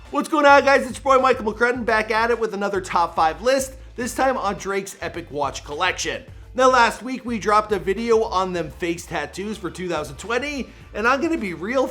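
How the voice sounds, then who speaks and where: A man's voice: high-pitched, sharp tone. A man with a Toronto accent hosting a countdown video in a jokey, slangy, punchy way. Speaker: host. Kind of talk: energetic countdown commentary.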